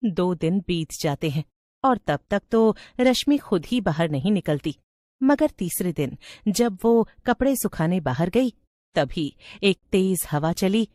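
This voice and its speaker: A woman's voice: on the low side, lively intonation, a little slow, mellow, dry recording, studio quality. A woman storyteller reading a scripted tale as narrator.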